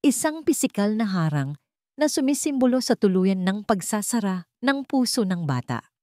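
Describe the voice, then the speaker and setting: A woman narrating a scripted dramatic story in a solemn, deliberate manner. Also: mid-range voice, big pitch swings, mellow, no echo, studio quality.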